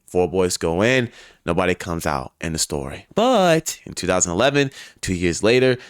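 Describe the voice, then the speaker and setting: A man hosting and narrating a scripted video essay, telling the story in a dramatic, emphatic way with deliberate pauses. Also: fairly low pitch, no room sound, studio quality.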